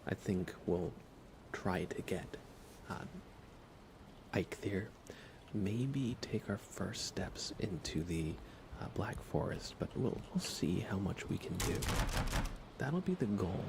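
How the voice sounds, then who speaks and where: Soft-spoken man, slow, low and gravelly. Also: expressive, background noise. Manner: soft-spoken, often dropping into whispers; rambling, hesitant, musing aloud. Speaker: livestream game streamer. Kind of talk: relaxed live gaming commentary, ASMR.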